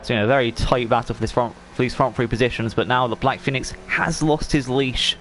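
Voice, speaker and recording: A man's voice: medium pitch, steady tone, fast, fairly bright tone, slight background noise. A man commentating a sim racing league race in a chatty, rambling way, while he is losing his voice. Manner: chatty, rambling, frequent fillers and restarts.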